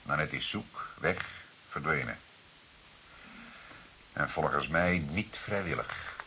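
A calm, authoritative detective in a radio play. His voice is low with a firm, sharp edge, and he questions formally and deliberately over a hissy recording. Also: exaggerated voice, rough recording. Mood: grave. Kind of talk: Dutch radio drama dialogue.

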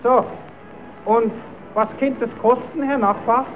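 A high-voiced, cheeky Kasperl rascal. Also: male voice, fairly even intonation, a little slow, soft background music. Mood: naive curious. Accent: southern German. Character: Kasperl, cheeky, quarrelsome, naive male puppet-show rascal. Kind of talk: old radio play, comic dialect acting. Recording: tinny, narrow-band old radio recording.

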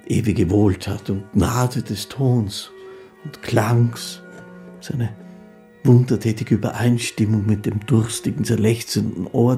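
An actor playing a poor, gentle, devout old fiddler who recalls his life in a halting, reverent monologue for a radio play. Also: mid-range voice, vocal fry. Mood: rapt, reverent.

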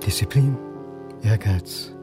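Weary, self-doubting academic, music underneath. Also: male voice, fairly low pitch, slow. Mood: self-loathing. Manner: dry, terse, self-deprecating. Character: self-doubting history lecturer around forty, dry, weary. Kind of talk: radio drama acting.

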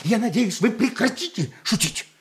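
A man's voice: sits high, lively intonation, normal speed, background noise. An actor playing a fussy, exasperated middle-aged father in a theatrical radio play, harried and placating. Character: fussy, exasperated father managing his unruly family. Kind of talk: Russian stage-play radio acting. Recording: busy crowd murmur behind.

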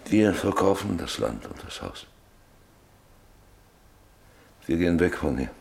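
Gravelly, guilt-ridden middle-aged father. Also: low voice, sing-song, a little mellow, exaggerated voice. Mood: resigned, resolute. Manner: halting, brooding, repeats phrases. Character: guilt-ridden, stubborn, brooding landowner father, married 25 years. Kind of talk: German radio drama acting.

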